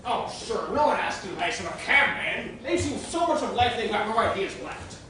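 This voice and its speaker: A man on stage playing a fussy, excitable underling, deferential yet nagging. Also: sits high, moderate pace. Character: fussy, excitable male underling to his boss. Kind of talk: theatrical stage comedy acting. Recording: very echoey, noisy hall from far off.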